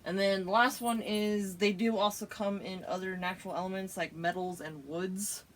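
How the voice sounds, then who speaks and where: A woman's voice: medium pitch, fairly even intonation, moderate pace, slight background noise. A woman hosting a casual chat, explaining things in a giggly, chatty way with plenty of fillers. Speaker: podcast host.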